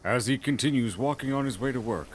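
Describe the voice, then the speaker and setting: A man's voice: mid-range voice, fast, background noise. A man narrating a scripted audio fantasy story in a dramatic storytelling style. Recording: music bed under the voice.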